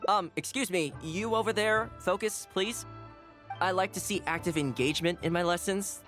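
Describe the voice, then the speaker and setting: An actor playing a polite, earnest young male housekeeper who teaches a class in a video game, speaking clearly and courteously. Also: high-pitched, normal speed, fairly bright tone. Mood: politely firm. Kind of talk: video game voice acting, instructional speech. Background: background music.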